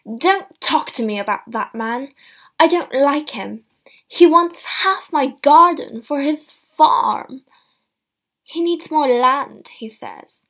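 A woman reading aloud, voicing an indignant fifty-year-old mother who scolds her family loudly and bitterly about money. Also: sits high, animated, measured pace, gravelly, heavily acted. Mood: angry scolding.

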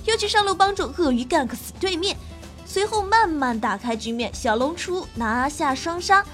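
A woman's voice: high-pitched, fairly bright tone. A woman host reading scripted gaming narration in a playful, dramatic storytelling manner. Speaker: game show host and streamer. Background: background music.

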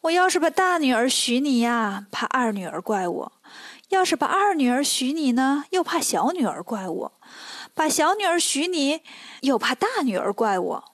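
A woman's voice: sits high, sing-song, moderate pace, no room sound. A woman voicing a crafty, coy middle-aged mother-in-law with a coaxing manner in a storybook tale. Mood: mock-troubled.

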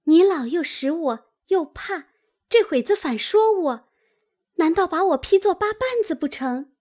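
A woman's voice: extremely high pitch, lively intonation, a little slow, a little mellow, voice pushed up. A woman voicing a young servant girl who protests plaintively, in a storytelling reading. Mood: protesting. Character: young servant girl, wronged, answering back to elders. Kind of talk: dramatised classic novel storytelling.

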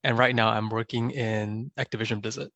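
Young man, gravelly timbre, dry close sound; measured, reflective guest. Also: on the low side, fairly even intonation, fairly bright tone, no room sound, highs cut off. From Singapore. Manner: thoughtful, measured, often adds a questioning "right?". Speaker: podcast guest. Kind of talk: casual interview answers.

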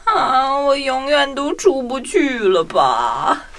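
Hapless little toy soldier for children's audio drama, played by a woman: very high, slow, plaintive, swooping melodramatically. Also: big pitch swings, a bit bright, heavily acted. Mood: forlorn. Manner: whiny, wailing, dramatic. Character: small toy tin soldier, hapless, plaintive, easily frightened. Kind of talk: children's audio storybook acting.

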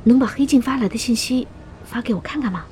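A woman's voice: a little high, fast talker, mellow, a little hiss or hum, close to an everyday voice. A woman playing a caring, composed young mother in an audio drama. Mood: earnest.

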